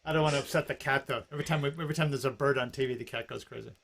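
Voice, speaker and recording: Man host, gravelly, fast talk, joking, casual video call. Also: medium pitch, a bit bright. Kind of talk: casual chat on a live stream.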